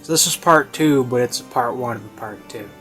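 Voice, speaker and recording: A man's voice: mid-range voice, a little fast, fairly bright tone. A man giving a casual, rambling review, hesitant and conversational, often rephrasing himself mid-thought. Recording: classical music playing behind. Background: baroque classical music.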